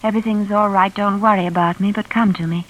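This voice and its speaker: An actress playing an anxious, polite young live-in companion who acts and narrates in a vintage radio thriller. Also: female voice, mid-range voice, steady tone, fast, natural voice, poor audio. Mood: pleading. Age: young adult. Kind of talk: old-time radio drama acting and narration. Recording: vintage radio drama recording.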